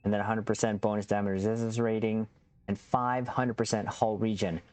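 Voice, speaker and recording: A man's voice: on the low side, level tone, fairly quick, a little mellow, a little hiss or hum. Chatty man hosting a game walkthrough. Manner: upbeat, chatty, addresses viewers as captains. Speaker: gaming channel host. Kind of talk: casual game build walkthrough.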